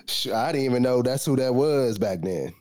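A middle-aged American man chatting casually on a podcast as a panelist, telling things in a colloquial, animated way. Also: mid-range voice, normal speed, dry recording.

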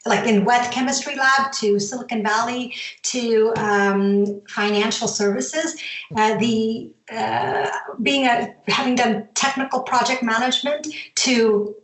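A woman's voice: a little high, a bit bright. A middle-aged woman with an American accent, chatting in a meandering, casual way as an interview guest. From born in Zambia, grew up in the US from age ten. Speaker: podcast guest, company founder. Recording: echoey, roomy sound.

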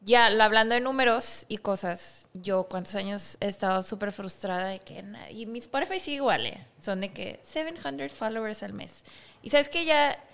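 A woman's voice: medium pitch, normal speed, gravelly, a bit bright. A woman of about forty with a northern Mexican accent, talking candidly and emphatically in slangy, casual podcast conversation. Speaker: musician, podcast guest.

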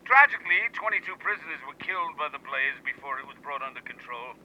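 An actor playing a formal, guarded older rear admiral in a vintage radio news drama, heard over a radio line. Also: male voice, fairly high pitch, lively intonation, fast, sharp tone, a little hiss or hum, full character voice. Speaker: interviewed naval commander. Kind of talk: 1930s-style radio news drama acting. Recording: dull, band-limited radio phone line.

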